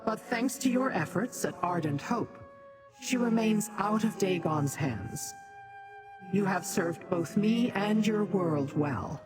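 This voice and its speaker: An actress playing a proud, secretive demon in a video game, haughty, formal and deliberate. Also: female voice, medium pitch, lively intonation. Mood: aloof, measured. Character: proud, aloof demon schemer, secretive and self-serving. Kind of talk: video game character acting. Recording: music behind.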